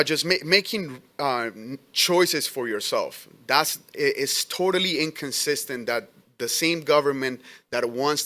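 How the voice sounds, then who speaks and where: A man's voice: medium pitch, sharp tone. Earnest immigrant man testifying. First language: not English. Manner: earnest, halting, many restarts. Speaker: letter carrier giving testimony. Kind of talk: testimony at a public hearing.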